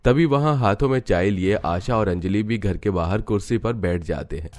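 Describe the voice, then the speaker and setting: A man narrating a cartoon story in a steady, scripted storytelling manner. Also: on the low side, normal speed.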